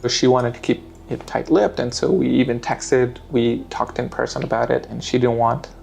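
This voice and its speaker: A man in his thirties from Afghanistan, calmly telling his story in an interview. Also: medium pitch, moderate pace, creaky, soft background music. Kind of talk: interview answers.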